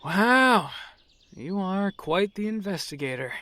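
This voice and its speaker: Sarcastic, animated young recruit. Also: female voice, mid-range voice, slow, full character voice. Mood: scornful. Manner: sarcastic, dismissive. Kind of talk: audio drama acting.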